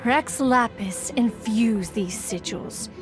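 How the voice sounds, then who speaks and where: A woman's voice: fairly high pitch, slow, music in the background. A woman voicing a proud, aloof, ancient immortal in a video game, speaking in a formal, archaic and imperious manner. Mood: stern, grave. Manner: formal, archaic, haughty, calls herself "one". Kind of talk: video game character acting.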